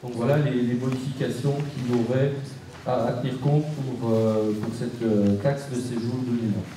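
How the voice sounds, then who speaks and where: A man presenting a report to a council as vice-president, formally but haltingly, with frequent pauses and repeated words. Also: medium pitch, steady tone, dark tone. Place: large indoor council meeting room. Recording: echoey council hall, distant mic, faint noise.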